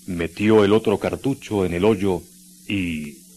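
A man's voice: low-pitched, takes it slowly, very noisy. A man with a Chilean accent narrating a scripted radio drama in a solemn, literary storytelling manner. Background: music.

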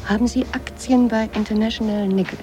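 An actress in a radio drama playing a cool, persistent interviewer with a soft husky voice and terse manner. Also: female voice, on the high side, fairly even intonation, a little fast, over music, natural voice. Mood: probing. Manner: terse, probing. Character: probing, persistent female media interviewer, cool and pragmatic. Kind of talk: 1980s German radio drama acting.

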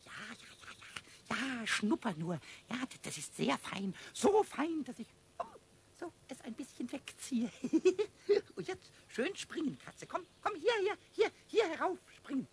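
A man voicing a cheeky, boastful little kobold in a children's radio play, squeaky and stammering over repeated words. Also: big pitch swings, unhurried, full character voice. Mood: coaxing.

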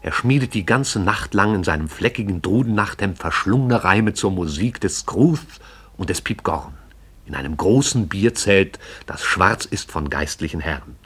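A man narrates a radio play with a slightly low, husky voice. His delivery is measured and storytelling. It is scripted narration for broadcast drama. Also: expressive, a bit bright.

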